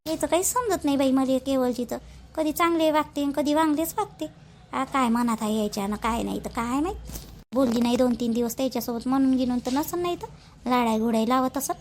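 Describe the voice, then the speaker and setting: A woman's voice: high-pitched, a little fast, a little mellow, a little hiss or hum. A woman with a Vidarbha Marathi accent playing a dutiful young newlywed daughter-in-law in a village cartoon, chatty, rustic and deferential. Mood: mildly aggrieved.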